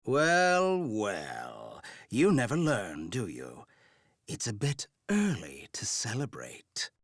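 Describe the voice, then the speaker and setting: A scheming villain scholar from a fantasy game, gleeful and mocking. His voice crackles with fry and swings widely in pitch. He draws out his words theatrically. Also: huge pitch swings, no room sound, studio quality, heavily acted. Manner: mocking, theatrical, drawn-out delivery. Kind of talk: anime fantasy game voice acting.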